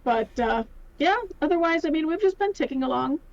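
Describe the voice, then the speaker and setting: A woman's voice: high-pitched, lively intonation, brisk, gravelly, a little mellow, muffled recording. A middle-aged woman, chatting casually as a podcast guest. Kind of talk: casual chat.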